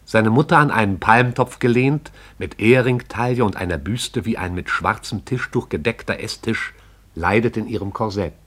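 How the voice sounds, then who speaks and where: Low-voiced male radio play narrator. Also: fairly bright tone. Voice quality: measured, poetic storytelling.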